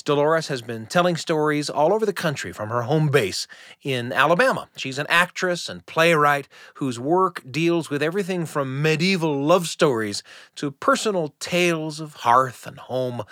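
Animated middle-aged male radio host. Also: a little high, dry recording, studio quality. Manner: expressive, conversational storyteller delivery. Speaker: radio storytelling show host. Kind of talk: scripted narration. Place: dry studio.

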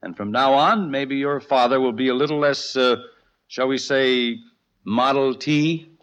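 An actor playing a calm, good-humored father in a 1950s radio sitcom, relaxed and reassuring. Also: medium pitch, a little slow, natural voice.

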